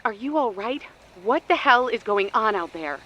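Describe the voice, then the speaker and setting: A woman's voice: fairly high pitch, lively intonation, moderate pace, pushed and bright, slight background noise. A woman playing an insistent support partner to a hero in an audio drama, urgent and questioning. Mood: alarmed. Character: sharp, insistent female radio-contact sidekick.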